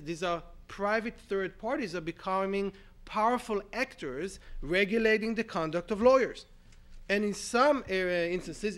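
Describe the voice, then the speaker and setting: A higher-pitched man with an Israeli accent, nasal and stuffed up with a cold, lecturing at a law conference. He talks with animation and keeps checking in with "right?" as he lays out his points. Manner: animated, frequent "right?" asides, self-deprecating. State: congested with a cold. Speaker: law professor panelist. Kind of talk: conference talk.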